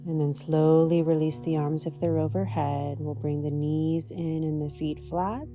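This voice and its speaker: A woman with a low-pitched voice leads a guided yoga and meditation session. Her tone is soft and dark, and her delivery is gentle and unhurried. Faint guitar music plays behind her. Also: level tone. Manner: gentle, soothing, unhurried guidance. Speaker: yoga teacher.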